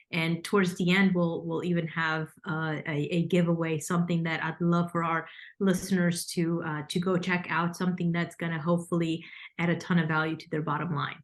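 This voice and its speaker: A woman in her thirties from Romania, a financial consultant speaking as a guest in an interview, articulate but with many fillers and restarts. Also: lowish voice. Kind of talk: podcast interview.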